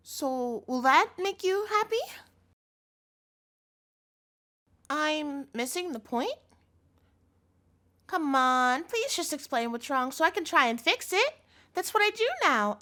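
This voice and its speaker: Yandere girlfriend, played by a woman, audio roleplay. Cloying, controlling devotion; high-pitched, very animated delivery, pleading and doting. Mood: wounded, pleading. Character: sweetly possessive yandere girlfriend, a doting chef captor.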